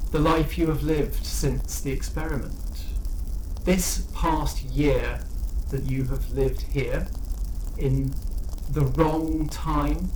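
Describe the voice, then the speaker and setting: A performer putting on a slow, measured demon voice. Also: mid-range voice, a little mellow, reverberant, slight background noise, lightly acted, poor audio. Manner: slow, measured, formal and persuasive. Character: coldly reasonable demon bargaining to undo time.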